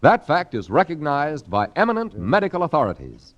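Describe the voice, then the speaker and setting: A man reads a 1940s radio commercial in a declarative sales manner. His voice is a bit high and extremely varied in pitch. The recording is dull and lo-fi. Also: huge pitch swings, a little fast. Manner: emphatic, declarative sales delivery. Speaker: commercial announcer. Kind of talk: 1940s radio advertising read. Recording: dull, lo-fi 1949 radio transcription.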